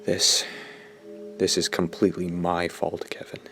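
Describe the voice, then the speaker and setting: A man's voice: sits low, completely flat delivery, measured pace, vocal fry, sharp tone, faint music behind, heavily acted. An actor playing a smug, self-absorbed teenage boy in an audio drama, narrating his confession in a pompous, dramatic way. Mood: guilty, confessing. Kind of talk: audio drama acting, first-person narration.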